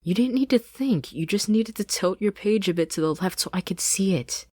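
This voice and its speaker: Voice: medium pitch, expressive, moderate pace, a little mellow, no echo, clean studio sound, voice pushed up, natural voice. A narrator voicing a bossy, proud teenage girl with a scoffing, demanding manner, in a fan fiction reading. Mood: scornful, irritated.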